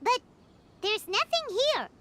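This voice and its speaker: A woman voicing a tiny, chirpy, childlike fairy companion with a squeaky, expressive voice in a video game. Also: slow, heavily acted. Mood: curious, doubtful. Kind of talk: cartoonish video game voice acting. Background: game music.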